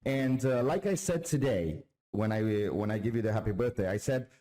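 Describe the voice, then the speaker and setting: Mellow-voiced male interviewer. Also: medium pitch, lively intonation, moderate pace. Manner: chatty, encouraging, repeats words when asking. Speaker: interviewer, channel host. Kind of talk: casual interview questions.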